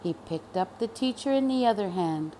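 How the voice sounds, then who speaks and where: A woman reading a children's storybook aloud expressively, with deliberate, dramatic emphasis on key words. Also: mid-range voice, expressive, mellow, a little hiss or hum, poor audio. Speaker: storybook reader.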